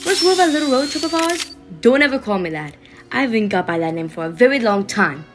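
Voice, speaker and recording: An actress playing a defiant, sharp-tongued woman agent in an audio drama, with a cutting, disdainful manner. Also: on the high side, expressive, fairly quick, over music, natural voice. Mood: hostile scorn.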